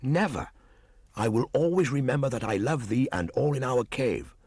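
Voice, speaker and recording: A man's voice: medium pitch, lively intonation, moderate pace, darkish tone, husky, no echo, studio quality, pitched above the performer's own voice. A man voicing a proud, curious young boy raised by wolves in a storybook reading. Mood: earnest, eager. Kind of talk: storybook audiobook acting.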